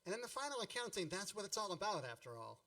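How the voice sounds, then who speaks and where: A man's voice: high-pitched, big pitch swings, quick pace, recorded from a distance, background noise. An actor playing a grown man who narrates his boyhood memories in a radio play, wry, nostalgic and grandiloquent.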